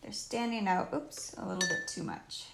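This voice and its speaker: A woman with a croaky voice casually teaching painting on a livestream, thinking aloud in short phrases as she works. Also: mid-range voice, moderate pace, a bit bright. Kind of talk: casual live painting tutorial. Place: indoors at home, live stream while painting. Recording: roomy live stream with faint noise and clicks.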